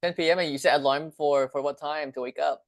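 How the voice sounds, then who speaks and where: A man's voice: a little high, steady tone, a little fast, dry recording, studio quality. A man hosting online chemistry office hours, chatting casually with lots of fillers and repeated phrases over a video call. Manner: friendly, filler-heavy, repeats himself. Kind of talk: casual chat.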